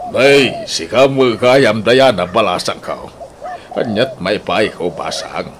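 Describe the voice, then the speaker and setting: A very deep-voiced older Ilocano father acted by a man, polite and conciliatory. He speaks in a radio drama with slight background noise. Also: slow. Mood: apologetic. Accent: Filipino, Ilocano. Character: apologetic older father of the suitor.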